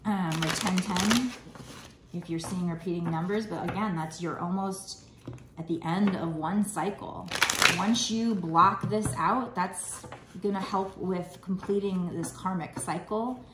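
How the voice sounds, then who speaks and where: A woman's voice: fairly low pitch, unhurried, roomy sound, heavy background noise. A woman giving a casual tarot reading, rambling and reassuring, her voice slightly froggy. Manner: rambling, reassuring, many fillers. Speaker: tarot reader.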